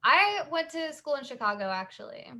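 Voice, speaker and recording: A woman's voice: sits high, sing-song, moderate pace, pushed and bright. A woman from the San Francisco Bay Area who owns a small business, hosting a live online workshop in easygoing casual chat, often laughing and trailing off.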